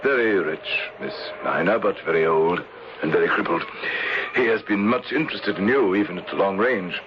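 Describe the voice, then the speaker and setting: An actor playing a grave, formal gentleman rescuer and narrator in an old-time radio drama. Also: low voice, natural voice. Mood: courteous. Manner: formal, measured, courtly. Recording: dull, noisy old radio broadcast.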